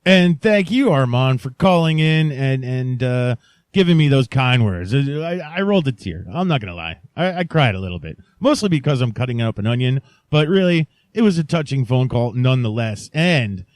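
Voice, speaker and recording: Halting male podcast host, very dry sound. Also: mid-range voice, animated, dry recording. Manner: halting, mock-sincere joking. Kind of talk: casual rambling podcast chat.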